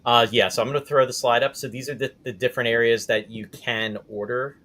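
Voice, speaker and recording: A man's voice: medium pitch, fairly even intonation, a little fast, a bit bright. A man hosting a casual talk show, chatting informally and reading out comments from viewers.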